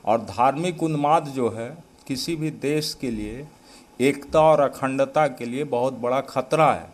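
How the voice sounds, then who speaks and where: A man's voice: mid-range voice, unhurried. A man with an Indian accent making an earnest, declarative political statement to the press. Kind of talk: press sound bite.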